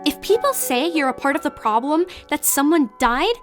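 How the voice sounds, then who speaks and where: A woman playing a gentle, earnest young friend who speaks softly and reassuringly in an audio drama. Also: high-pitched, very animated, moderate pace, soft background music. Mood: earnest comfort.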